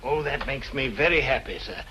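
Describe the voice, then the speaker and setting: A man playing a flustered, bashful elderly scholar in an old radio mystery drama, speaking haltingly and ingratiatingly. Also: medium pitch, bright, forceful tone, a little hiss or hum, lightly acted.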